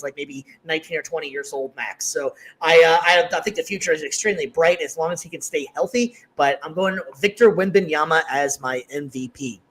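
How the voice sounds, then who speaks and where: A man's voice: a little high, fairly bright tone, low-quality recording. A man hosting a casual sports talk show, upbeat and leading the segment with plenty of fillers. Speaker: podcast host.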